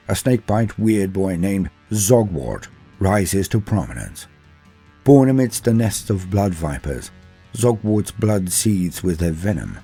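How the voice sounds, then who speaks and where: A man reading a scripted lore history in a measured, steady storytelling delivery. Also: low-pitched, husky. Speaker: lore narrator. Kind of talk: scripted narration.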